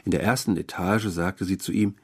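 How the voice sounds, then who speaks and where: Male story narrator, lowish husky voice, scripted literary read. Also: steady tone, moderate pace.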